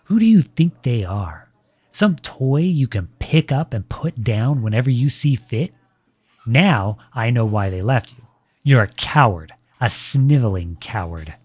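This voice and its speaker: Audio roleplay boyfriend male actor: protective, courteous yet cutting, pointed formal delivery, medium pitch, very dry sound. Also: animated, slightly rough, no room sound. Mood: indignant, scornful. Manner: measured, formal, pointed phrasing. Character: protective boyfriend coolly confronting partner's ex, polite but cutting. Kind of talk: scripted audio roleplay monologue.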